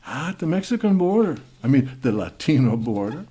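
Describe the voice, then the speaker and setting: An actor playing a formal, awkward young male Spanish exchange student in an audio drama, with a Spanish accent and a halting manner. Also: medium pitch, very animated, dark tone, husky. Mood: stiffly charming.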